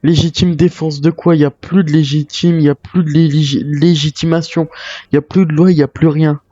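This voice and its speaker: Man, mellow voice, wary survivor role-play, video game, muffled roomy recording. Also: fairly high pitch, little pitch movement, moderate pace. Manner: speaks in character as a wary survivor. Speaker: player role-playing a zombie apocalypse survivor. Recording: muffled lo-fi mic, roomy echo.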